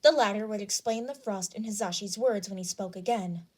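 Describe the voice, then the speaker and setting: A woman's voice: medium pitch, fairly quick. A woman reading scripted fan fiction as the narrator.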